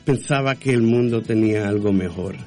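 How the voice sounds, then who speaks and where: Mellow man haltingly telling his story. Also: on the low side, faint music behind. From born in Guatemala to Dominican parents, raised in Texas from age six. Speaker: interview guest. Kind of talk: personal testimony in conversation.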